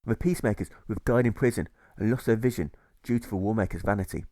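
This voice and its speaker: A man reading a rhyming novel as scripted audiobook narration, in a measured, rhythmic delivery. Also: lowish voice, darkish tone, no echo. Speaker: author reading own audiobook.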